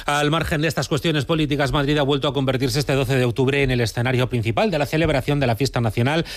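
A man from anchoring a radio news bulletin in a measured, formal read. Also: mid-range voice, steady tone, no room sound. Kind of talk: scripted news reading. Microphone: studio microphone.

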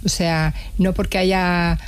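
Woman musician, interview guest, thoughtful and unhurried, dry close sound. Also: lowish voice, level tone, clean tone, no echo. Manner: thoughtful, reflective, with hesitations. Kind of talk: conversational interview.

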